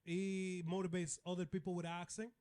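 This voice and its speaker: A man's voice: sits high, level tone, moderate pace, darkish tone. A man from the Dominican Republic with a Dominican accent, a comedian guest chatting brashly and profanely, cracking jokes. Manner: brash, profane, jokey, switches into playful voices. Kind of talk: casual podcast chat.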